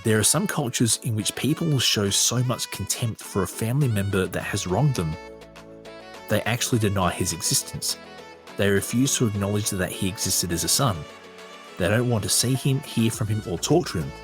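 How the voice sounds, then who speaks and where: A man with an Australian accent and a husky voice, hosting a podcast, reading aloud and commenting off the cuff in a conversational way. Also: on the low side, moderate pace, music in the background. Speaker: podcast host.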